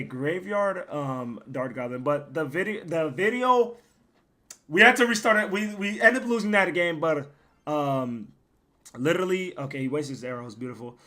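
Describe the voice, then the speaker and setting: A young man streams his game with a hoarse, worn-out voice that still has a bright, forceful edge. He rambles casually and swears freely between plays. He sometimes breaks into loud yells. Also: fairly high pitch, lively intonation, moderate pace. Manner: profane, rambling, bursts into loud yelling. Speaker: gaming streamer. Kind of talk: casual live gameplay commentary.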